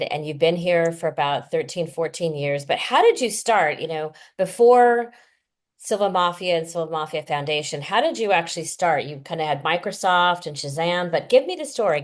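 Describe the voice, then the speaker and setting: A woman hosting a casual interview, asking chatty, emphatic questions. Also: sits low. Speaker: interview host.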